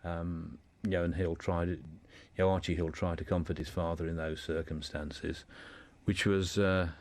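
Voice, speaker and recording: A man speaking as a guest in an informal radio discussion, explaining in a halting way and often repeating words. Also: low-pitched, level tone, a little mellow.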